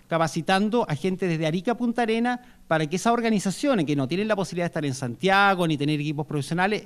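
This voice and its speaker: A man with a Chilean accent giving a conversational talk at a university panel, with frequent fillers and restarts. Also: high-pitched. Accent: Chilean Spanish. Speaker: panel speaker. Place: university lecture hall.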